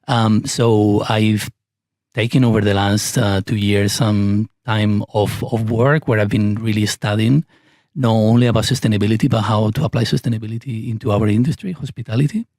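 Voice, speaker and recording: Brief: lower-pitched male guest, hesitant delivery, dry close sound. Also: fairly even intonation, a little mellow, no echo. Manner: halting, many restarts and fillers. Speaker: podcast guest, company executive. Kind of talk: casual interview chat.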